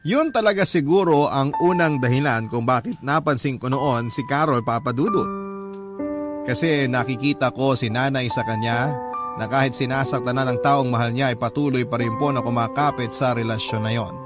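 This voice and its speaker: Casting: male actor for sincere young letter-writer, mid-pitched, reflective first-person narration over clear background music. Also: moderate pace, close to an everyday voice. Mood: reflective, resolute. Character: sincere young man confiding his love story.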